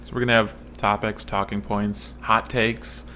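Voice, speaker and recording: A man's voice: on the low side, flat delivery, normal speed, pushed and bright, a little hiss or hum. Upbeat jokey man hosting a podcast. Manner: jokey, upbeat, laughs often. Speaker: podcast host. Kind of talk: casual chat.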